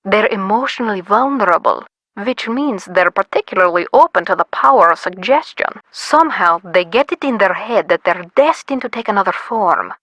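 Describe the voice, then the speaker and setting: Brusque expert with a bright, forceful voice. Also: female voice, mid-range voice, lively intonation, normal speed, no echo, lo-fi sound. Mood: lecturing. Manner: imperious, clipped, impatient lecturing. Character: brusque, self-important woman expert on lycanthropy. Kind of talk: scripted video game voice acting.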